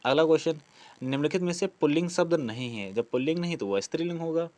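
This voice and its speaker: A man's voice: a little high, fast talker, a little mellow. Man teaching through practice questions. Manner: explanatory, asks questions and answers them himself. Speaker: Hindi grammar teacher for exam preparation. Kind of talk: practice question walkthrough lesson.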